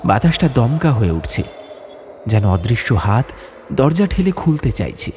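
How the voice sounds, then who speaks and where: Male narrator, warm low-lit timbre, lively intonation, atmospheric horror audiobook, deliberate phrasing, faint crickets and frogs behind. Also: slight background noise. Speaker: storyteller narrator.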